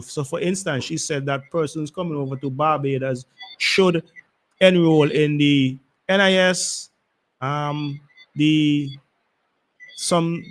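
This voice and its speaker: Male radio talk host, fairly high voice, hesitant live delivery with repeated words. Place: radio studio.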